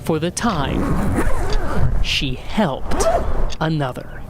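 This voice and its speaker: A man narrating a scripted TV news story as a reporter, in a dramatic, measured storytelling way with pauses. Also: fairly high pitch, very animated, heavy background noise.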